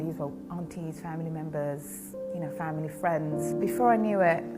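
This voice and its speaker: A woman giving a personal testimony in a quiet, measured, grave way. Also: on the low side.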